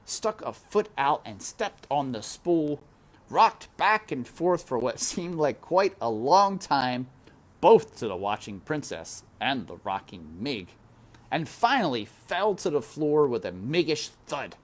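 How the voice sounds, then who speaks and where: A woman's voice: rumbling low, big pitch swings, slow. A woman storyteller reading a children's book aloud expressively, with chatty asides.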